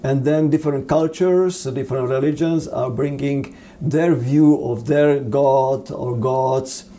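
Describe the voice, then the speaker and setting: A man with a Czech accent answering interview questions on theology in a halting, earnest, preacherly manner. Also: mid-range voice, fairly even intonation, warm tone, slight background noise. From Czech Republic. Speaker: seminary dean, interview guest.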